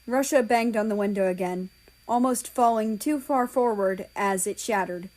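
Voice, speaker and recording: A woman's voice: medium pitch, normal speed, darkish tone, low-quality recording. A woman reading a story aloud as scripted narration, an amateur audiobook reader.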